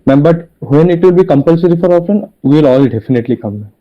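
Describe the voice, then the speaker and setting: A man's voice: a little high, expressive, fast talker. A male student explaining himself to his teacher, hesitant and saying ma'am again and again. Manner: hesitant, says ma'am often. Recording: dull online call audio.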